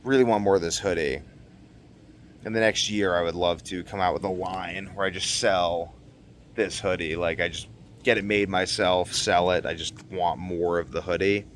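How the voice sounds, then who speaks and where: A young man talking casually to camera in a rambling, slangy vlog monologue, animated and given to acting out what people said. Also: deep voice, normal speed, a little hiss or hum. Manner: animated, exclamatory, slangy, acts out quotes. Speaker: vlogger.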